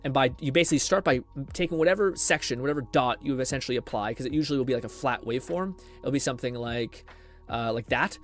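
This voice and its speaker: A male streamer talks quickly and casually, rambling through explanations with blunt swearing and self-deprecating asides. His pitch sits in an average range, and faint music plays behind him. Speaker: live streamer. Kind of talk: casual rambling stream talk with tutorial advice.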